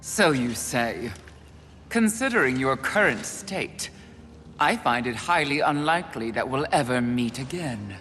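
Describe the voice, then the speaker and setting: An actor playing a haughty, contemptuous embodiment of sin in a video game, pompous, sneering and theatrical. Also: male voice, medium pitch, expressive, unhurried, sharp tone, long reverb tail, soft background music. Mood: disdainful. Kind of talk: dramatic video game acting.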